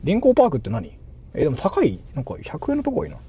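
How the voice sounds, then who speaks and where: Male IRL streamer, native Japanese with a Japanese accent, highish animated voice, casual slangy delivery, faint vehicle noise. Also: rapid-fire, breathy edge. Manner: repeats himself, slangy. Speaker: livestreamer. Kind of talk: casual livestream chat.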